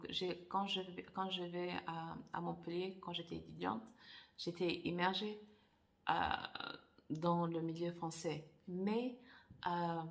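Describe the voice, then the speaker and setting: A woman whose first language is Portuguese, talking casually and thoughtfully to camera, with many pauses, fillers and restarts. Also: fairly low pitch, vocal fry. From Angola. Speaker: author. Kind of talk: casual personal vlog.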